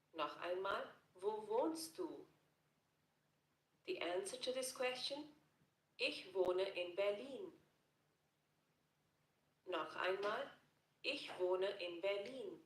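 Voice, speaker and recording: A woman teaching German phrases in a scripted lesson narration, repeating each phrase slowly and carefully. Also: medium pitch. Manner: slow, careful repetition of phrases. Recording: roomy echo.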